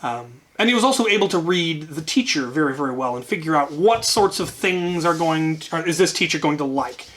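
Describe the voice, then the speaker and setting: A man's voice: a little high, expressive, a little fast, few pauses, a bit bright, rough recording. A man telling an anecdote casually as a channel host, wryly, often repeating words as he gathers his thoughts.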